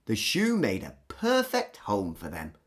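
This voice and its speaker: A woman reading a children's storybook aloud as an expressive narrator. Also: very deep voice, measured pace. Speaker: storybook reader.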